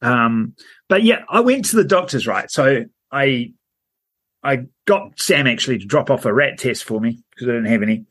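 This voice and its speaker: A man, his voice croaky because he is ill. He speaks at a middle pitch and tells stories in a rambling, self-mocking way as a podcast co-host. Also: animated, normal speed, a bit bright. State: croaky from illness. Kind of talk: casual storytelling chat.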